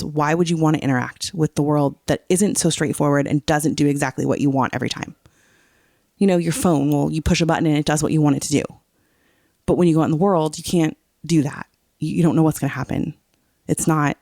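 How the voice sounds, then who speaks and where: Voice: medium pitch, fairly even intonation, fairly quick, a little mellow, no room sound, clean studio sound. A podcast co-host chatting casually, explaining song lyrics and often checking that the listener follows. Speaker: teacher.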